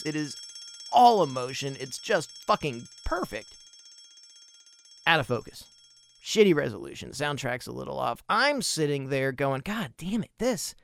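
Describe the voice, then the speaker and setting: A man's voice: fairly high pitch, creaky, fairly bright tone, slight background noise. A man hosting a podcast, telling personal stories and opinions in an emphatic, profane way. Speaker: podcast host. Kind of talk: spoken podcast commentary and personal anecdote.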